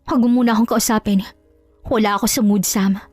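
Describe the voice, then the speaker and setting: A woman playing a proud young woman in a love story, courteous but firm and quick to stand up for herself. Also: mid-range voice, steady tone, a little mellow, no room sound, soft background music, close to an everyday voice. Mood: irritated. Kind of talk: dramatized audio love story.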